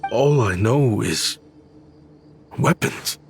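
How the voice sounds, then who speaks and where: An actor playing an earnest, slightly awkward exiled scholar and inventor in a video game, with a wordy way of talking. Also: male voice, on the low side, lively intonation, extremely slow, faint music behind, exaggerated voice. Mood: excited, proud. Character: eager exiled male scholar, weapons-obsessed inventor, earnest.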